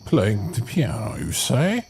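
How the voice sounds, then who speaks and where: An actor playing a polite, ominous old groundskeeper in a horror audio drama, with a grave, formal, theatrical manner. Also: male voice, mid-range voice, huge pitch swings, takes it slowly, creaky, darkish tone, breathy edge, exaggerated voice. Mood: unnerved. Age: older.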